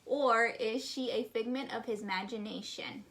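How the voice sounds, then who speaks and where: A woman's voice: a little high, steady tone, normal speed, fairly bright tone, poor audio. A woman co-hosting a casual livestream, reading fan questions aloud and chiming in informally.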